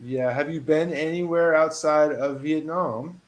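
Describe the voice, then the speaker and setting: A man teaching a one-on-one English lesson over a video call, patient and encouraging, reading model phrases with lively emphasis. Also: a little high, a little slow, a little hiss or hum. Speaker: English tutor.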